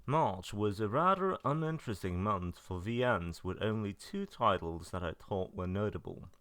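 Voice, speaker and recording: A man's voice: on the low side, expressive, normal speed, fairly bright tone, no echo, studio quality. A man narrating a review roundup from loose notes, in a matter-of-fact and blunt way. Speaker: video narrator reviewing visual novels. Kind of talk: semi-scripted review narration.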